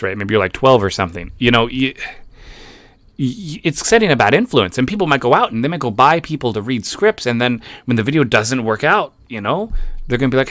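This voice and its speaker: A man's voice: medium pitch, a bit bright, no room sound. A man giving casual reaction commentary, skeptical and rambling with restarts. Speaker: YouTube commentator.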